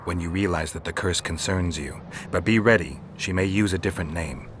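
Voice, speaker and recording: An actor playing a stoic, world-weary monster hunter in a video game, gravelly and matter-of-fact. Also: male voice, low-pitched, a little fast, slight background noise, natural voice. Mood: calm, instructing. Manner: terse, flat, matter-of-fact.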